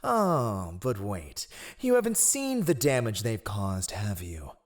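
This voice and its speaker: A sly and ruthless royal spy master , played by a male voice actor, in a fantasy audio drama. His silky, formal speech swings widely in pitch, sardonic and mockingly courteous. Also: wildly swooping pitch, slow pace, full character voice. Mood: mocking, needling. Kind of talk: fantasy audio roleplay acting.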